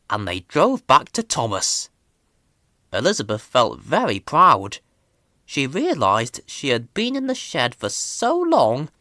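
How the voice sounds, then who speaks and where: A woman's voice: rumbling low, fairly bright tone, no room sound, studio quality. A woman reading a children's story as a scripted narration. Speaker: storybook reader.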